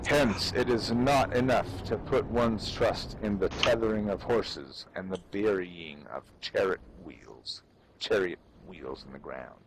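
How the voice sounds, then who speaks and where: A man performs a dramatic reading in a fairly low voice, very slowly and with solemn deliberation. He declaims each phrase like weighty instruction, over clear background noise. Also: poor audio. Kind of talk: dramatic reading of an ancient military treatise.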